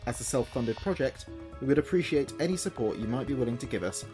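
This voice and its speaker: A man's voice: mid-range voice, lively intonation, quick pace, music in the background. A man presenting a podcast in a scripted credits announcement.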